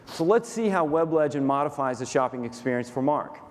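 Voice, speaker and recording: A man's voice: a little high, lively intonation, a little fast. Dry, methodical Texan presenter man. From Texas, USA. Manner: dry, matter-of-fact, methodical walkthrough. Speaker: company COO demonstrating software. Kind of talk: staged product demo presentation. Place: conference stage in a large hall. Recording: reverberant conference hall.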